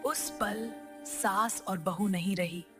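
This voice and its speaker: Casting: female cartoon narrator, mid pitch, slow measured reading, light music underneath. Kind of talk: scripted story narration.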